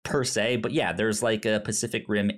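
A man co-hosting a podcast in casual chat, halting and stammering over repeated words. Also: on the low side, flat delivery. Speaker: podcast co-host. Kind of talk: casual chat about shows and films.

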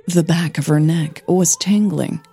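A woman reading scripted fiction as the narrator of an audio drama, in a measured, gentle manner. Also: sits low, breathy edge, soft background music.

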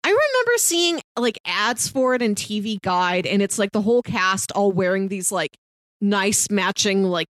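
A woman co-hosting a podcast, chatting casually and pitching shows to her co-host. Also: lively intonation, moderate pace, a bit bright, no room sound.

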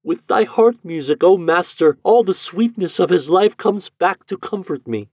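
Man voicing a grieving noblewoman, high and pleading. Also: expressive, moderate pace, no room sound. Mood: yearning. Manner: high, breathless and emotional. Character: grieving noble young woman, tender and pleading.

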